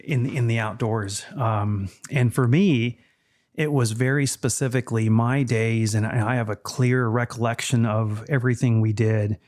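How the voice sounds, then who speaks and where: A man in his fifties from Texas, Spanish his first language, talking in a measured, reflective way as a podcast guest. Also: mid-range voice, fairly even intonation, a little mellow. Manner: measured, reflective, with frequent fillers. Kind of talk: casual interview chat.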